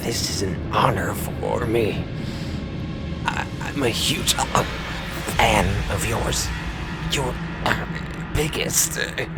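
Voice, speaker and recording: Man playing a halting, gravelly, nervous henchman. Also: medium pitch, animated, over loud music. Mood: starstruck, awkward. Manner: halting, stammering, deferential. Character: nervous, starstruck, stammering male henchman.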